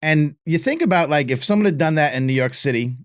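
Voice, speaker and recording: A man's voice: fairly high pitch, animated, fast talker, dry recording. Reflective middle-aged man, dry sound. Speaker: podcast host. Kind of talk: casual interview chat.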